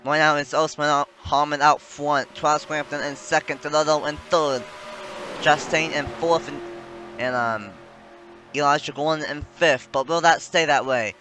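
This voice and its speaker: A man's voice: fairly high pitch, steady tone, moderate pace, sharp tone, soft background music. A man giving rambling, self-correcting play-by-play commentary on a simulated stock car race. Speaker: sim racing commentator.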